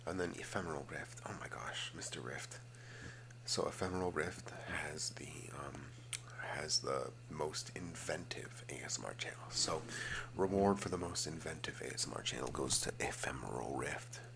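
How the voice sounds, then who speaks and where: A man hosting a casual, rambling ASMR video, slipping between vocal fry and whispering and often repeating his phrases. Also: very deep voice, moderate pace, background noise. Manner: alternates hushed fry and whispering.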